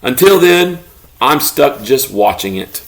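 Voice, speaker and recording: A man voicing a good-natured, grumbling pet beagle telling his own storybook tale in an expressive, mock-indignant way. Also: medium pitch, slow pace, vocal fry, heavily acted, rough recording. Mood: wry, grumbling.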